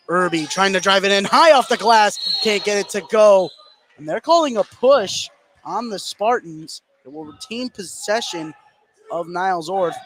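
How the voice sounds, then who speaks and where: Male play-by-play announcer, slightly high voice, clipped calls that lift with the action, noisy gym behind him. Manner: excitable on big plays, short clipped phrases. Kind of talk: live basketball play-by-play commentary. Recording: clear gym noise behind the voice. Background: basketball game ambience, bouncing balls.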